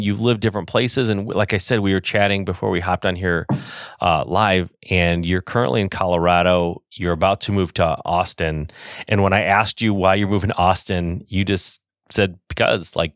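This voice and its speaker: A middle-aged man from Michigan hosts a casual podcast interview, chatty and upbeat, with plenty of fillers and restarts. His voice sits low. The sound is dry and close. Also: moderate pace, no room sound. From Michigan, USA. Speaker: podcast host.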